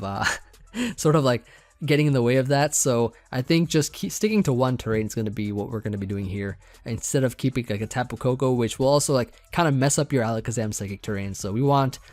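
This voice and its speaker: A young man casually talking through a team build, rambling with many fillers, his voice getting a bit hoarse. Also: mid-range voice. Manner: relaxed, rambling, many fillers and restarts, light laughs. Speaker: competitive Pokemon team builder. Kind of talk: casual gaming commentary over team building.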